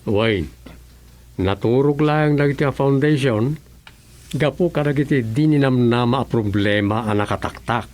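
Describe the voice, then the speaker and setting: A man's voice: mid-range voice, moderate pace, darkish tone, lightly acted. A male actor with an Ilocano Filipino accent playing a chatty, nosy local councilor in a comic radio drama. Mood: chatty, bantering.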